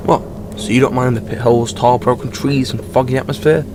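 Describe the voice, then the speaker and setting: A man's voice: mid-range voice, fairly even intonation, a little fast, close to an everyday voice. A man playing a sarcastic, exasperated young travelling companion in a sci-fi audio drama. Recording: noisy ambience behind the voice.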